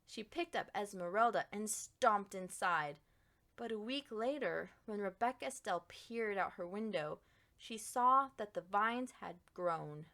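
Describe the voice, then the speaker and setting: A woman reading a children's picture book aloud as an expressive storyteller with dramatic, deliberate emphasis. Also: mid-range voice.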